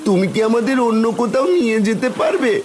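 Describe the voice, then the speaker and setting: A worried male fish played by a man talks in a high cartoon voice as a protective elder brother. Faint ambient noise sits behind him. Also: fast talker, a little mellow. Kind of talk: Bengali cartoon voice acting.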